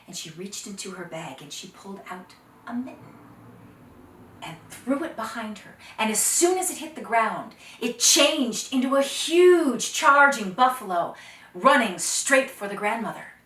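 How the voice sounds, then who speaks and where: Expressive woman storyteller in a roomy space. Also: a little high, slight background noise. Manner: expressive, deliberate, drops to hushed phrases. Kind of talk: dramatic folktale storytelling for children. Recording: roomy echo, distant microphone.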